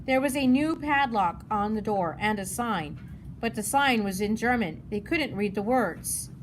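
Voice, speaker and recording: A woman's voice: medium pitch, lively intonation, measured pace, a little hiss or hum, low-quality recording. A woman narrating a children's novel as a read-aloud.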